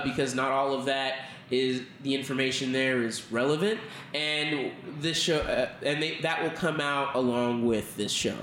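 A man's voice: mid-range voice, fairly bright tone. An American man from Texas hosting a casual news-talk podcast, addressing listeners in an informal, folksy way. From Texas, United States. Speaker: podcast host. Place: indoor studio under renovation. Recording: echoey room, faint background noise.

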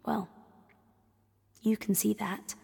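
A woman playing the wistful ghost of a young girl, narrating gently and reflectively in an audio drama. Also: mid-range voice, steady tone, takes it slowly, gravelly, breathy edge, full character voice. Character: wistful ghost of a young girl lingering in her old house. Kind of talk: scripted audio drama monologue. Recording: long cavernous reverb.

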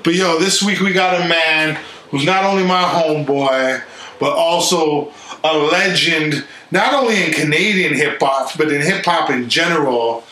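A man's voice: on the high side, a little slow, sharp tone. A man hosting a podcast, introducing guests in a hyped, casual street style. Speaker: podcast host. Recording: roomy studio with faint hiss.

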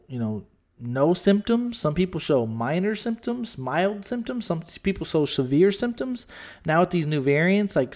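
A man's voice: on the high side, darkish tone. Congested man rambling on a podcast. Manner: rambling, restarts often, swears casually. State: congested, scratchy throat. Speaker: podcast co-host. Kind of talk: casual podcast chat.

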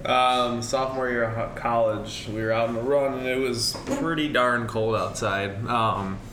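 A man's voice: mid-range voice, steady tone, moderate pace, background noise. A man, a coach, telling a rambling story in casual chat, with many ums along the way. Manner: rambling, filler-heavy with frequent ums. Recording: echoey room.

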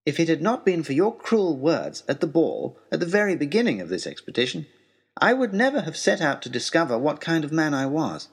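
A man playing an earnest, eager young gentleman adventurer in an audio story, narrating a letter in a formal, wordy, wry way with deliberate pauses. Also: fairly high pitch, huge pitch swings, breathy edge, full character voice. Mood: earnest, happy.

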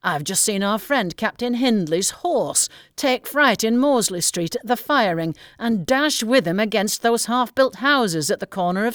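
Woman reading flustered old gentleman, exclaiming, voice pitched up, dry sound. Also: no room sound. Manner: agitated, exclaiming breathlessly. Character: excited elderly gentleman, easy-going merchant.

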